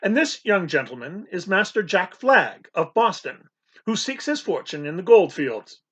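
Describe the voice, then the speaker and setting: A man doing a calm, formal butler. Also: high voice, breathy edge, full character voice. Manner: formal, courteous, measured. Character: unflappable polite male butler, composed. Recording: dull lo-fi recording.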